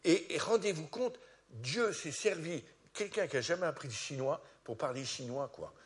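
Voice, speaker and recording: A man from France giving a sermon as a pastor, animated and rising to loud peaks, often repeating words as he starts sentences. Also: a little high, moderate pace. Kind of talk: testimony sermon.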